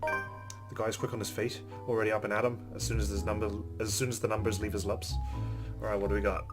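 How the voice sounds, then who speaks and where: Casting: male let's play host, fairly low voice, mixes narration reading with casual remarks over music. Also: flat delivery, fast. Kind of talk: reading game narration aloud with casual commentary.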